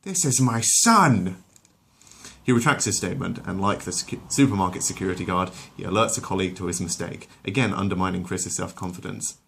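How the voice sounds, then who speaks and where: A man's voice: deep voice, fairly even intonation, fast, vocal fry, few pauses, fairly bright tone, a little hiss or hum. A man reading scripted comic story narration in a wry, wordy, mock-literary way.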